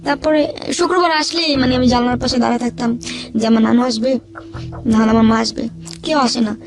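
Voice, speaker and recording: High-pitched young woman telling her story. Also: fairly even intonation, a little fast. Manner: colloquial, repeats phrases as she narrates. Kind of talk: personal confessional storytelling. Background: background music.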